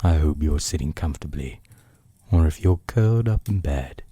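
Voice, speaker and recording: A man hosting a storytelling podcast, narrating from a script in bedtime-story style with dramatic pauses and savoured phrasing. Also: rumbling low, expressive, warm tone, slightly rough, dry recording.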